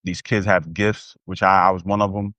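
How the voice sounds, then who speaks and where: Deep-voiced American man, close dry sound. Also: flat delivery, normal speed, fairly bright tone, dry recording. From United States. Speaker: interview guest, former pro athlete. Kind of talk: animated personal conversation.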